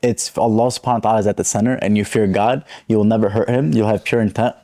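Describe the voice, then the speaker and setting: A man's voice: fairly low pitch, fairly even intonation, quick pace, dark tone. A man guesting on a podcast, speaking earnestly and fervently about faith and intentions. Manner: earnest, fervent, often invokes God. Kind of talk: casual chat.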